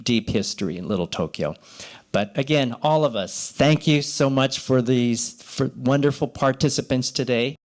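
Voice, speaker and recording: A man in his sixties speaks as the organizer of an awards ceremony. His remarks are informal thanks, delivered haltingly. He often repeats himself and rephrases mid-sentence. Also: medium pitch.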